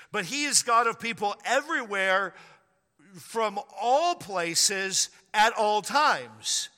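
A man's voice: high voice, sharp tone. A man preaching a sermon as pastor of his church, driving points home with emphatic repetition. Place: church sanctuary. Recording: long reverb of a hall.

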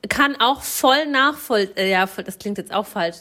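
A woman's voice: medium pitch, lively intonation, a little slow, bright, forceful tone. A young woman co-hosting a casual chat, playful and chatty, laughing often. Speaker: podcast co-host.

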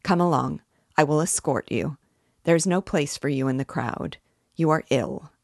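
A woman's voice: sits low, moderate pace, darkish tone, no echo, clean studio sound. A woman voicing a firm, businesslike male government official in an audiobook. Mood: firm calming. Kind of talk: dramatic audiobook character reading.

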